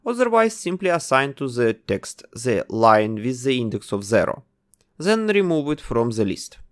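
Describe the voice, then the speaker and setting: Man with a lively, bouncing pitch. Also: normal speed. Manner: instructive, gives plain commands one step at a time. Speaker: game development tutor. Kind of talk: step-by-step coding tutorial narration.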